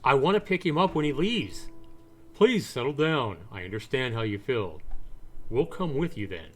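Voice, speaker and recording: Man reading as a firm, calm police detective. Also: mid-range voice, normal speed, pitched above the performer's own voice.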